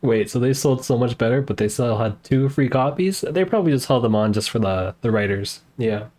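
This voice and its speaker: A woman's voice: very deep voice, expressive, fairly quick, warm tone. A woman giving casual live commentary as she plays a game, in short, dry, wry reactions. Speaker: game streamer.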